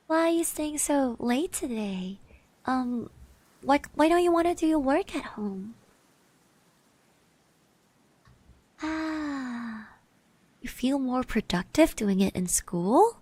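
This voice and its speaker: A woman playing a shy, friendly schoolgirl classmate in an ASMR roleplay, speaking softly, gently and hesitantly. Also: high-pitched, animated, takes it slowly, long pauses, dry recording, full character voice. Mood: gentle, sympathetic. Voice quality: soft, breathy. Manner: hesitant, gentle, with little hums and trailing repeats. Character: shy, friendly high school girl classmate, admiring and easily flustered.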